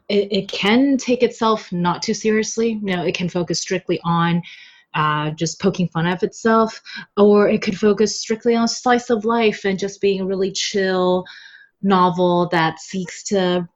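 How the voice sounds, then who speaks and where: A woman's voice: mid-range voice, highs cut off. A woman guest on a podcast, a translator talking casually about a novel she works on, often laughing and dotting her talk with fillers.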